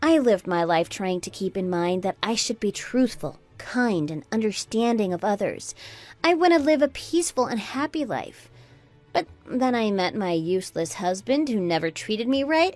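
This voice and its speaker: A woman's voice: on the high side, animated, moderate pace, faint music behind, natural voice. A woman playing a fed-up, sarcastic daughter-in-law in a melodramatic radio drama. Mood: scornful, indignant. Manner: sarcastic, taunting. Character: scornful, fed-up adult daughter-in-law, Anna, defiant and mocking. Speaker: voice actor in an online radio drama.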